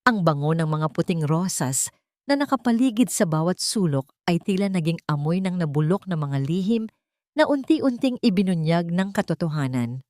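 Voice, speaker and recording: Woman narrating a dramatic story, slow and measured, kinda low voice, dry mic. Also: lively intonation, a little mellow, no echo.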